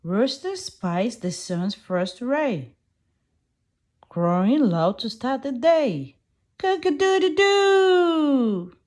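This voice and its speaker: A woman reading a picture book aloud to young children in an expressive way, putting on animal sounds. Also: sits high, extremely slow.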